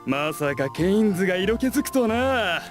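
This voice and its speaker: An easygoing big-brother type from a visual novel, teasing the younger ones. The delivery is quick and very animated. Music plays clearly behind the voice. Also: male voice, high voice. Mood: teasing, curious. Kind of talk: Japanese visual novel voice acting.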